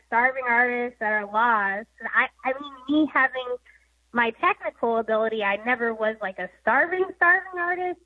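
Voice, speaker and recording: A young woman with a sweet, girlish voice, chatting as a guest on a talk show, laughing often and using lots of fillers. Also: a little high. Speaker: guest musician. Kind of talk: casual interview chat. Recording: dull lo-fi sound.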